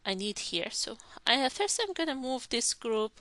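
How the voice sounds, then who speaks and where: A woman walking through a software tutorial step by step, thinking aloud as she clicks through the program. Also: a little high, big pitch swings, normal speed, dry recording. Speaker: instructor. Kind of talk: screen-recorded software tutorial.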